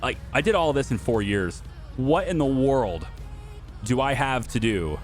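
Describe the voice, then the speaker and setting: A man's voice: mid-range voice, lively intonation, unhurried, soft background music. A man hosting a gaming video, talking casually and off the cuff to viewers in a chatty, rambling way. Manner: chatty, rambling, thinking aloud, addressing viewers and developers directly.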